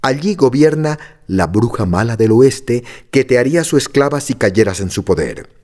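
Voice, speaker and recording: Narrator doing a serious little villager, cautioning. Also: male voice, medium pitch, expressive, normal speed, a little mellow, close to an everyday voice. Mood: warning. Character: small fairy-tale villager man, warning.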